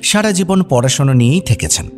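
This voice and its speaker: Lively male storyteller over music. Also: mid-range voice, fast talker. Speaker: story narrator. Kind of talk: scripted, dramatised storytelling for radio.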